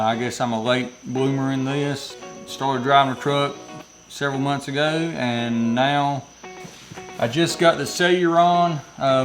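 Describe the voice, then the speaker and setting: A man's voice: medium pitch, poor audio. Guy rambling thru a gear review in his shop, laid back, faint music under it. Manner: rambling and folksy, lots of restarts. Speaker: fishing channel host reviewing radios. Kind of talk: casual product review vlog. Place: his workshop building.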